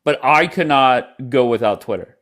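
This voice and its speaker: A man hosting a casual livestream chat, blunt and quick to cut in on his guests. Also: medium pitch, fairly bright tone. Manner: blunt, apologetic, talks over guests. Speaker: livestream host. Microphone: headphones in, at home.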